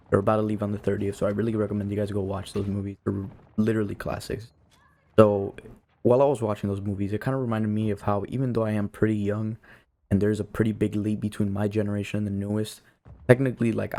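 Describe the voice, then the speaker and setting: A man's voice: lowish voice, darkish tone. A nineteen-year-old man from Cuba giving casual, rambling commentary to viewers in an informal way, peppered with "you know" and "like". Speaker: video creator.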